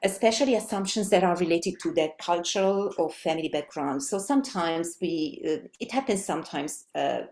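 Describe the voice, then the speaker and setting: A middle-aged woman whose first language is Italian speaks English with an Italian accent in a somewhat low voice. She gives a webinar presentation, thinking aloud, with frequent ums and restarts. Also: a little mellow, slight background noise. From Italy. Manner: halting, many fillers and restarts.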